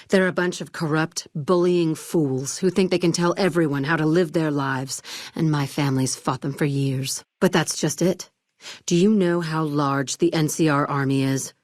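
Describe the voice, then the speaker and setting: A woman acting in a video game as a shrewd, coolly controlled arms dealer and crime-family boss, speaking in a measured, polished, commanding way. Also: deep voice, no echo, studio quality, close to an everyday voice. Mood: matter-of-fact disdain. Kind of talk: scripted game dialogue acting.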